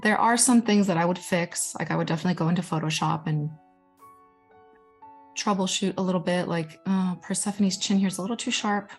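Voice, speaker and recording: Young woman, from Guatemala, Spanish first language, lowish voice, chatty, enthusiastic presenter, faint music underneath. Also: a little fast. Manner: chatty and enthusiastic, self-deprecating asides. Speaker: digital storytelling manager. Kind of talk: informal presentation showing her artwork.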